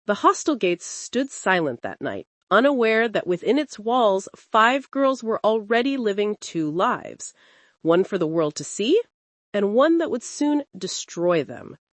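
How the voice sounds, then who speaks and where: A woman storyteller giving an expressive, suspenseful narration of a scripted dramatic folktale. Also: mid-range voice, measured pace, no echo.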